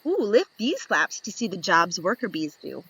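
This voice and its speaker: A woman reading a picture book aloud to young children, expressive and instructive, putting questions to her listeners and answering them. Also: mid-range voice, moderate pace, husky, no echo, rough recording.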